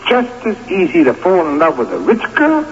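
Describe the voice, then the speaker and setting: A man's voice: high-pitched, wildly swooping pitch, normal speed, full character voice. A man playing an older, pragmatic pal giving insistent, colloquial advice in a radio drama. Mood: urgent, persuading. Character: older pal, streetwise, pragmatic, pushy advice-giver to young athlete. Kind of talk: 1980s radio drama acting. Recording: dull noisy radio recording, long reverb.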